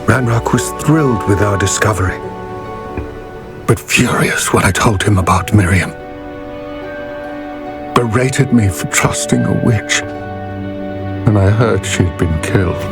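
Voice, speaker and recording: A man voicing a grave, regretful goblin rebel in a video game, telling his story in a solemn, measured way. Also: on the low side, music in the background. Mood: sorrowful, grave. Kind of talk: dramatic video game voice acting.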